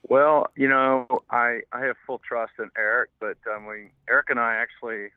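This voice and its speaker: Hoarse older man on a tinny phone line. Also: mid-range voice, fairly even intonation, no room sound. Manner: halting, hesitant, rambling. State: hoarse from losing his voice. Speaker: guest, racehorse owner. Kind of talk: casual phone interview. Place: car, driving.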